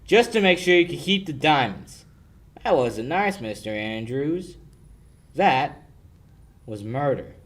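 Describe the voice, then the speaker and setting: Young guy doing a cocky radio detective kid, wry and needling, medium pitch, 1940s play style. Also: big pitch swings, slow pace, long pauses. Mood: accusing. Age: young adult. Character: cocky, wisecracking young male amateur sleuth. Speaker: amateur high school actor.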